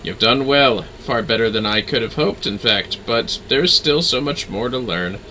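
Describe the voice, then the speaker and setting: A man voicing a mysterious dark knight mentor from a fantasy game, solemn, measured and formal. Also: medium pitch, expressive, a little hiss or hum, low-quality recording. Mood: solemn, approving.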